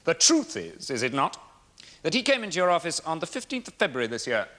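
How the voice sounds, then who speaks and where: A male defence barrister in a courtroom drama, needling and theatrically polite. His voice is bright and cutting, with big swings in intonation. He places his words deliberately, with wry, probing courtesy. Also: a little high, wildly swooping pitch. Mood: pressing. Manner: sardonic, deliberate, rhetorical pauses. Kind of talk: courtroom drama cross-examination.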